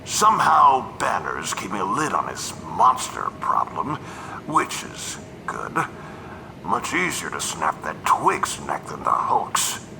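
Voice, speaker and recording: An actor playing a gruff, menacing army general who barks threats. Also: male voice, low-pitched, wildly swooping pitch, slow pace, noisy background, full character voice. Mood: cold. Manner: threatening, barking. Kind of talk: fan audio drama acting.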